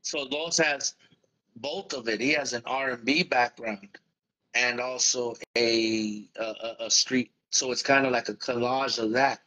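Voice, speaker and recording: A man's voice: medium pitch, fairly even intonation, unhurried, pushed and bright, low-quality recording. A musician guest in a casual interview, speaking in loose run-on sentences and calling the host "man" and "bro".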